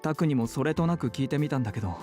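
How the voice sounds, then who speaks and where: An actor playing a worried, gossipy clinic colleague with soft feminine phrasing in a Japanese visual novel. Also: male voice, mid-range voice, expressive, racing, over music, close to an everyday voice. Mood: worried, uneasy.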